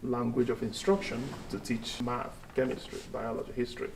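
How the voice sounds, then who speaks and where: A man's voice: mid-range voice, flat delivery, normal speed, vocal fry, a little hiss or hum. Man interviewing in a roomy space. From Nigeria. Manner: probing, asks follow-up questions. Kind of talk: academic interview. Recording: roomy, distant mic.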